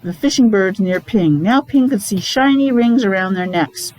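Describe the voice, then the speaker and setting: A woman, a teacher reading a picture book aloud to young children, pausing to explain and ask questions in simple words. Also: mid-range voice, lively intonation, a little mellow, low-quality recording. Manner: child-directed, asking questions, repeating words for emphasis. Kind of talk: animated read-aloud with casual explanatory asides.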